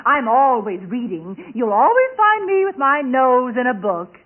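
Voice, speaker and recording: Haughty, swooping, theatrical radio wife. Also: female voice, high-pitched, big pitch swings, moderate pace. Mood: gushing, vain. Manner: theatrical, affected, indignant. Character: haughty, vain, gushing announcer's wife. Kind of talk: 1940s radio comedy acting. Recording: tinny old radio broadcast.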